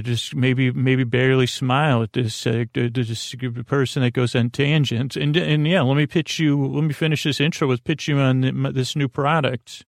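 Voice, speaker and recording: Rambling, gravelly sleep-podcast host. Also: male voice, dry recording, studio quality. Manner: meandering, halting, full of fillers and restarts; deadpan mock product pitch. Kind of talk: rambling improvised monologue.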